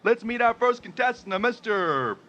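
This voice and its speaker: A man playing a brash game show host in a parody radio sketch, announcing in a showy, drawn-out way. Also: very high voice, lively intonation, lo-fi sound, very noisy. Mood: showy, upbeat.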